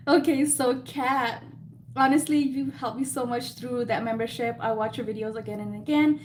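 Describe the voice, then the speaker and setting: A woman's voice: a little high, a little slow, pauses often, darkish tone, slight background noise. Chatty woman with a slight Spanish accent. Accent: slight Mexican Spanish. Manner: chatty, laughs often, self-deprecating. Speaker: beauty business host. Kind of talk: live Q&A chat.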